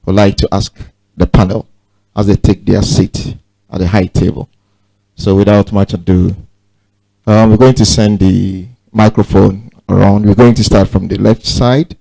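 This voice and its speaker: A man moderating a panel and inviting questions from the audience in a formal, measured way. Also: lowish voice, creaky, mellow, no echo, low-quality recording.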